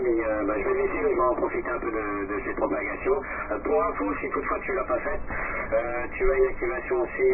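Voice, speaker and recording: Man on very noisy, crackly distant radio. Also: on the low side. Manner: halting, repetitive, friendly and thankful. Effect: radio transmission. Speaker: amateur CB radio operator. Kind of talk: casual radio contact chat. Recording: very noisy, distant, narrow-band radio reception.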